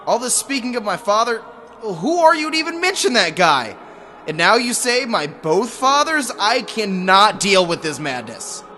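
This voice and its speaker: A man's voice: sits high, very animated, moderate pace, pushed and bright, a little hiss or hum, full character voice. A man playing a grumpy, reluctant monster-hunting hero in a comic audio drama, sarcastic and exasperated. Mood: exasperated, defensive. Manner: exasperated, stilted heroic phrasing with slangy gripes. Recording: music behind the voice.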